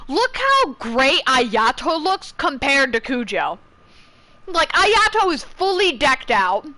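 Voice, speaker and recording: A woman's voice: sits high, big pitch swings, slow pace, pushed and bright. A young woman giving casual, chatty, opinionated gameplay commentary that rambles along. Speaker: game streamer.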